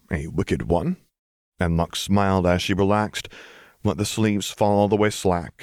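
A man's voice: low-pitched, dry recording, studio quality. A man reading scripted fiction as an audiobook narrator.

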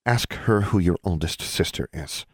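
A man's voice: low-pitched, animated, unhurried, no echo, clean studio sound. A man voicing a guarded, blunt elder sister in a story, with terse, cutting delivery. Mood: challenging.

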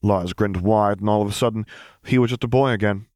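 Deep male audiobook narrator reading a story, dry close sound no room. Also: fairly even intonation, fairly quick, a little mellow, no room sound. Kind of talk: scripted fiction narration.

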